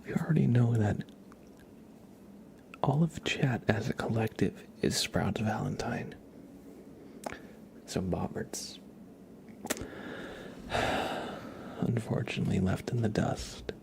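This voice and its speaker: A man hosting an ASMR livestream, chatting playfully with viewers in a soft, whispery, breathy voice with mock-serious teasing. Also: on the low side, slow, long pauses.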